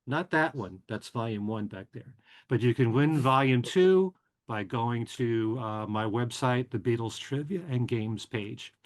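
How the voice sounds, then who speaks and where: Man, podcast host, mid-pitched voice, casual chatty delivery, relaxed with fillers. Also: a little slow. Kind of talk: casual show announcements.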